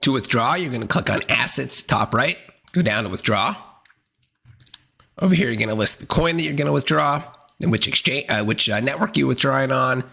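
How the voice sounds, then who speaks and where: A man hosting a casual step-by-step tutorial, plain-spoken, with a hoarse, congested voice from a cold. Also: medium pitch, bright, forceful tone. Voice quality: raspy, congested. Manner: plain-spoken, instructional, colloquial. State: hoarse, losing his voice from a cold. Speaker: host of a crypto exchange tutorial channel.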